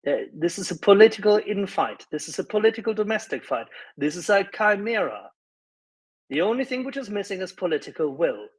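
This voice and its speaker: A man's voice: sits high, sing-song, brisk, breathy edge, low-quality recording. A man on a live audio panel arguing politics emphatically, insistent and repeating words for emphasis.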